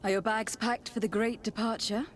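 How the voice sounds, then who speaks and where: A woman's voice: on the high side, fairly even intonation, normal speed, slight background noise, close to an everyday voice. An actress playing a poised, confident young noblewoman in a video game, formal and polite. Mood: playful. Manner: formal, composed.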